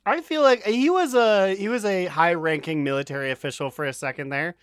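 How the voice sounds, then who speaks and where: A man's voice: high voice, brisk, clear, resonant tone, no room sound, clean studio sound. A man co-hosting a podcast, chatting casually in a joking, crude, loose way. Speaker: podcast co-host.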